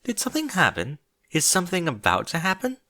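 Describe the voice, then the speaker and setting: A man's voice: high-pitched, wildly swooping pitch, a little fast, pushed and bright, voice pushed up, exaggerated voice. A man voicing a refined, dramatic female unicorn with affected, genteel manners in a storybook reading. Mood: startled, nervous. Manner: affected, genteel, uses endearments.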